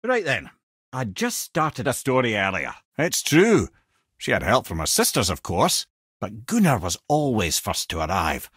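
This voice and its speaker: Wise, talkative old Scottish sage, game character. Wry, eloquent, widely varied pitch, dry studio sound. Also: male voice, wildly swooping pitch, a little slow, fairly bright tone, husky, no echo, full character voice. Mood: storytelling. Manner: eloquent, wry storyteller with colourful old-fashioned turns of phrase. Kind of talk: video game character lines, storytelling and banter.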